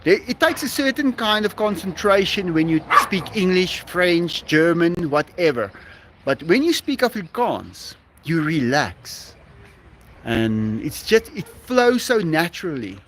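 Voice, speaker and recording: A South African man chats casually with his livestream viewers in a high, lively voice. He speaks slowly, laughs often and keeps restarting his sentences. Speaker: livestream host. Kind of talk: casual live-stream chat with viewers.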